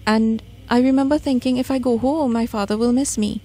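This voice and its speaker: A woman with a Trinidadian accent speaks in a fairly high voice. She talks conversationally about herself, as a guest at a radio studio microphone. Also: fairly even intonation, brisk, warm tone, slightly rough. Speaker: radio guest, spiritual medium. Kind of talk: conversational interview, personal storytelling.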